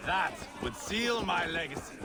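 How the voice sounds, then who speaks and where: An actor playing a brash, crude Viking warrior in a video game, taunting and swaggering in a coarse, bloodthirsty way. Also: male voice, high-pitched, animated, a little slow, crowd noise. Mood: boastful. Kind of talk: video game character acting. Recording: music behind the voice.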